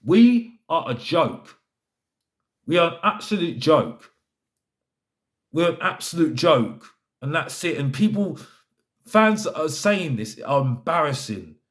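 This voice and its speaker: Exasperated man on a higher-pitched football rant. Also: big pitch swings, slow, long pauses. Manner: emphatic, repeats phrases for effect; exasperated, fed up. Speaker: football fan vlogger. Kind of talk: opinionated fan rant to camera.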